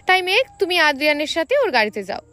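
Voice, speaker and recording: A woman's voice: sits high, big pitch swings, quick pace, bright, forceful tone, faint music behind, heavily acted. A woman narrator voicing a scheming, teasing young matchmaker in an audio story, casual and insistent. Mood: sly, insistent.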